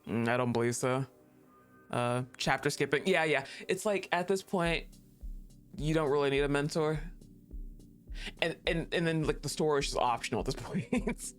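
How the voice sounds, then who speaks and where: A male streamer chatting casually to his viewers, excitable, laughing often and swearing freely. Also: on the high side. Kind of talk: casual livestream chat. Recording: faint music behind the voice.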